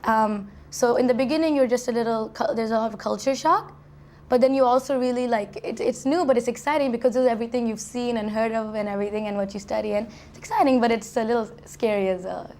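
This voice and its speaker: A quick, high-voiced young woman from Saudi Arabia, talking freely in an interview. She tumbles through her answers with restarts and "like" fillers. The recording is from a university dorm. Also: a little mellow, a little hiss or hum. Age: young adult. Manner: chatty, many restarts and "like" fillers. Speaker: college student guest.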